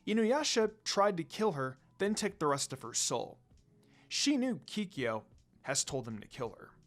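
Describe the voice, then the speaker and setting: Lively fan fiction narrator over music. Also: medium pitch. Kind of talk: dramatic story reading.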